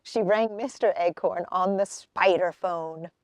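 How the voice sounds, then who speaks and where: A woman reading a children's picture book aloud as a storyteller, with expressive, deliberate phrasing. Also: fairly low pitch, no echo, studio quality.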